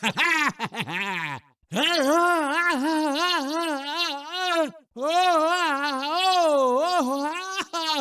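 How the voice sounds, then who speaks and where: An actor voicing a cocky, taunting shark-like alien racer in a cartoon video game, boastful and exclamatory. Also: male voice, very high-pitched, animated, very slow, bright, forceful tone, distant mic, exaggerated voice. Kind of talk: video game character barks.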